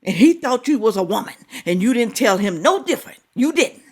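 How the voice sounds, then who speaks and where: Lady reacting to clips, talks to y'all, pitch jumps all over, fussing and exclaiming. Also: husky. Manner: animated, scolding, drawn-out exclamations. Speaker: reaction video host. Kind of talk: casual reaction commentary.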